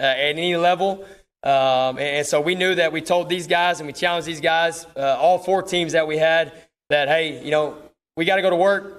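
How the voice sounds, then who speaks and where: Texan football coach, slightly high-pitched man. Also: a bit bright, clean tone. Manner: folksy, repeats words and phrases. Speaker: football coach hosting an awards ceremony. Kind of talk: informal ceremony speech. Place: speaking to an audience at a school awards ceremony.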